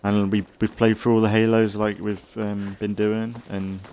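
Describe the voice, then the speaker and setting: A man giving casual, rambling commentary as a let's play host, thinking aloud in a halting way and sounding slightly congested. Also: fairly low pitch, flat delivery, mellow, slight background noise. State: slightly congested from an oncoming cold.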